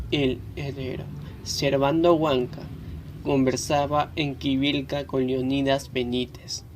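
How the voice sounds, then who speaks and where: A man reading scripted narration for a radio novel. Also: medium pitch. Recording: faint background noise.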